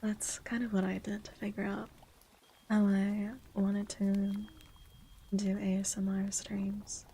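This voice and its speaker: A woman in a low, feminine register, speaking almost entirely in soft unvoiced whispers. Her tone is mellow and her pitch stays very level. She chats and reads aloud in a steady, sleepy ASMR style. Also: takes it slowly, a little hiss or hum. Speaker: streamer. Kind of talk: whispered ASMR chat and legend reading.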